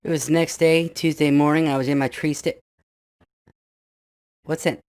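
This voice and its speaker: A man talking through a pitched-up voice changer that makes him sound like a small child, complaining irritably and swearing as he starts telling his story. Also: steady tone, normal speed, darkish tone, clean tone, dry recording, studio quality. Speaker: guest storyteller. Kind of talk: casual chat.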